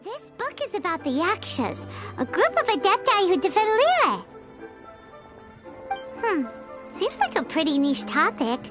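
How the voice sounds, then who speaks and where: A woman's voice: wildly swooping pitch, unhurried, pauses often, heavily acted. A woman voicing a tiny, curious fairy companion in a video game, who talks about herself in the third person. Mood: thoughtful. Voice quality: high, bright and childlike. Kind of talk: game dialogue. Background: game music.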